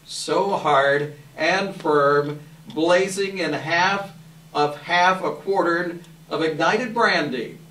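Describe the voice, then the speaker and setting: A man giving an expressive live dramatic reading of a classic story as narrator, with measured phrasing. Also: a little high. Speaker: narrator, readers theater volunteer. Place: live event room. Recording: echoey room, distant microphone.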